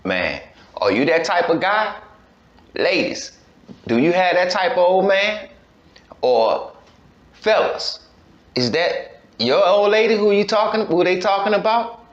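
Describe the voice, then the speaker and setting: A man's voice: high-pitched, sing-song, unhurried, pauses often. A man hosting a casual reaction commentary, conversational, often checking in with his listeners as he goes. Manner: conversational, full of check-in phrases. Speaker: host reacting to a clip. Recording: roomy echo, slightly dull sound.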